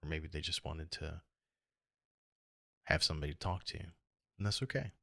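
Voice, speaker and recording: Deep, hoarse American man, gravelly voice. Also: fairly bright tone, dry recording, studio quality. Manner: reflective, halting, rambling. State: hoarse from a lot of talking. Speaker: podcast host. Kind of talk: casual solo podcast monologue.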